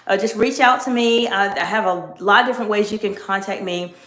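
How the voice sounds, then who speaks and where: A middle-aged woman coaching viewers on leadership in a live stream, speaking in a measured, emphatic teaching tone. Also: mid-range voice, fairly bright tone. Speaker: executive coach. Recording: echoey room.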